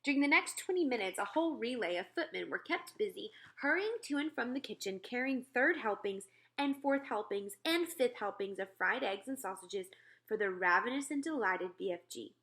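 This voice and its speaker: A woman narrating a children's storybook aloud in an expressive read-aloud style. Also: a little high, normal speed. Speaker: storybook reader.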